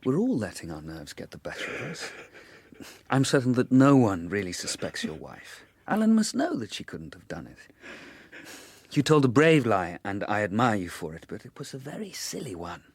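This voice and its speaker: An actor playing an eager, good-natured British gentleman amateur sleuth in a radio drama, earnest and easily flustered. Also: on the low side, big pitch swings, moderate pace, slightly rough, dry recording, heavily acted. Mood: reassuring.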